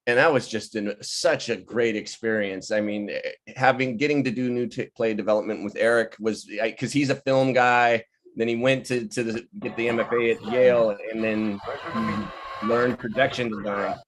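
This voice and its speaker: A man with a mid-pitched voice talks casually over a video call, like someone leading a relaxed online gathering. He tells anecdotes in an animated way and tends to act out the people he quotes. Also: moderate pace. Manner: animated, laughing often, acting out quoted people. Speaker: playwright and director leading a virtual table read. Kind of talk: casual, anecdotal storytelling chat. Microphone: video call microphone.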